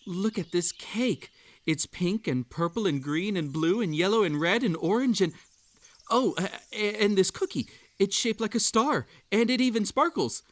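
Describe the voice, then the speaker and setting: A man playing an obsessive, unhinged magical hare hosting a tea party in a roleplay audio, with a theatrical, halting delivery that swings between sweet coaxing and menace. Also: high-pitched, no echo. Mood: manic delight. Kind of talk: roleplay audio acting, intimate second-person address.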